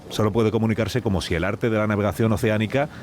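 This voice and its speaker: A man's voice: lowish voice, fairly even intonation, fast, a little mellow, slight background noise. A man with a Spain accent telling a scripted radio story with theatrical asides and repeated phrases.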